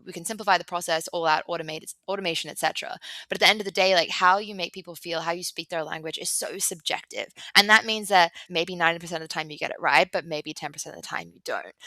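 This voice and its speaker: A woman guest on a business podcast, chatty and animated, laughing often and sprinkling "right" and "like" into her talk. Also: on the low side, dry recording, poor audio. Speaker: business development director, guest. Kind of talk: conversational podcast interview.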